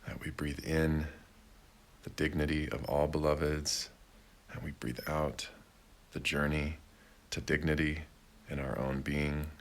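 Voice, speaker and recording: A man leading a guided meditation in a gentle, deliberate way, with long pauses between short phrases. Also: rumbling low, level tone. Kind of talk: guided meditation, slow and unhurried.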